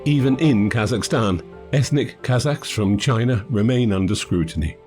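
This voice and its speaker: Slightly low-voiced male documentary narrator. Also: moderate pace, darkish tone. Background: background music.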